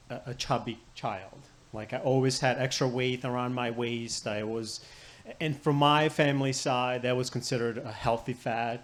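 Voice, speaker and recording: A man from Croatia, a patient guest on a health panel, telling his story briskly and matter-of-factly. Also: mid-range voice.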